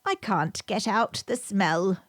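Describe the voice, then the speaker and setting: A woman's voice: medium pitch, slow, no echo, clean studio sound. A woman voicing a fussy, obliging hedgehog washerwoman from a storybook, with deferential, chatty, old-fashioned country turns of phrase. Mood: apologetic, polite. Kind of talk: storybook character reading.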